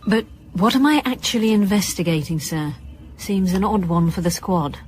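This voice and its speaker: A woman playing a sharp, dryly witty senior police detective in a naturalistic radio drama, measured and polite. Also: mid-range voice, lively intonation, lightly acted. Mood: questioning, composed.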